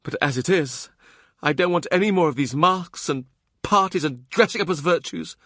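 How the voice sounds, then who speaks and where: Casting: man playing a young noble widow and mother, higher-pitched, aggrieved yet wistful, for a historical novel audiobook. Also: normal speed, fairly bright tone, no echo, clean studio sound. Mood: aggrieved, weary.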